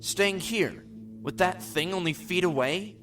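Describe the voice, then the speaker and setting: An actor playing a jittery, excitable starship ensign in an audio drama, sounding worried. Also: male voice, sits high, measured pace, recorded from a distance, music in the background. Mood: nervous.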